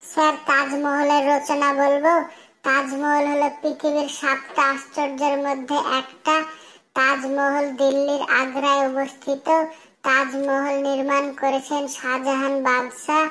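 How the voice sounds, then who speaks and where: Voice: high voice, monotone, measured pace, pushed and bright, low-quality recording. A performer voicing an earnest cartoon schoolboy who speaks like a pupil reciting memorized lessons. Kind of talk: cartoon comedy dialogue.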